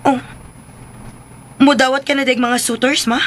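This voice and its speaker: A woman's voice: high voice, fairly even intonation, unhurried, faint music behind. A woman playing a teasing, curious grown daughter in a radio drama. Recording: noisy background.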